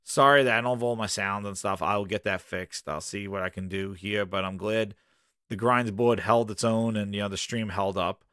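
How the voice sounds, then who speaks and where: Hoarse man hosting a gaming stream. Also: fairly low pitch, normal speed, dry recording, clean studio sound. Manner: animated ranting, loose and rambling. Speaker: gaming podcast host. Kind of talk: casual livestream chat.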